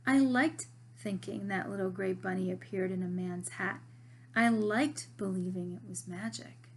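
A woman reading aloud, voicing a spirited, blunt schoolgirl who is a loyal best friend, in a lively, emphatic way. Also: medium pitch, a little slow, gravelly, husky. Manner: lively, emphatic storybook dialogue.

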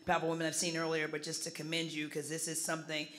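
A woman in the audience speaks in a bright, quick voice, effusive and earnest. A faint hum sits behind her. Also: low-pitched, monotone. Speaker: audience member asking a question. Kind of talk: question from the floor. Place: large conference hall.

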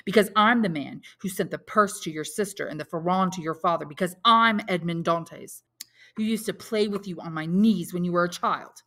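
A woman voicing a calm, resolute older Count from a storybook, speaking in a measured, firm, authoritative manner. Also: fairly low pitch. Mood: commanding.

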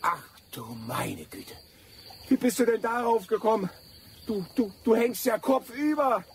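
A high, whiny coward whose pitch swings widely as he stammers in panic. It is radio drama, with clearly audible insect noise behind the voice. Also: sing-song, unhurried, exaggerated voice. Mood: panicky. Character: whining, panicky, cowardly treacherous travel companion. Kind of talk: German radio play acting.

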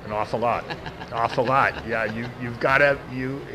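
Middle-aged American man, big voice, guest at an outdoor event interview, traffic behind, mid pitch. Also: steady tone, moderate pace. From United States. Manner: says he has a big voice, restarts often. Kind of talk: casual interview answers. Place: outdoor event media wall. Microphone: handheld mic held by interviewer.

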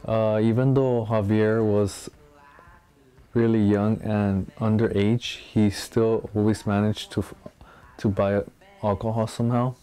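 A young man in his early twenties talks calmly from a small bedroom. His voice is low-set, mellow and smooth, held in a flat, steady line with only small stumbles. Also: a little slow. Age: 20s. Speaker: interviewee. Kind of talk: documentary interview.

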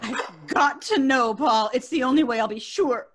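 A woman's voice: high-pitched, normal speed, slight background noise, lightly acted. A woman playing a haunted, frightened, insistent wife in an old-time radio horror play, melodramatic and urgent. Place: room on a video call.

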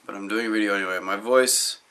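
A young man vlogs casually in a low voice made husky by being sick. His tone has a bright edge, and he rambles in a dry, self-deprecating way. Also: steady tone, quick pace. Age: young adult. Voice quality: husky, deep from illness. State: sick, voice gone deep. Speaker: video blogger. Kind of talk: rambling casual vlog to camera. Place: small room indoors.

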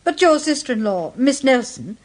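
High-voiced, poised wife. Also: female voice, very animated, moderate pace. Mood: curious. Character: poised, inquisitive detective's wife, gracious. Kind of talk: British radio drama acting.

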